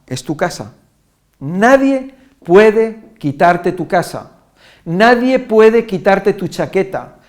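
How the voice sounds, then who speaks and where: A man from Spain with a Castilian accent and a fairly high voice teaches in a relaxed, roundabout way. He stacks up examples, restarts his sentences and circles back to the point. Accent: Spanish from Spain. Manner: repetitive, listing examples with restarts and fillers. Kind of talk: informal Spanish lesson.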